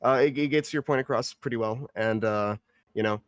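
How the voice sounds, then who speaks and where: A man's voice: medium pitch, a little fast, dry recording, studio quality. A man giving casual feedback in a hesitant, hedging, rambling way. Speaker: participant giving script feedback. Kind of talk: casual spoken critique.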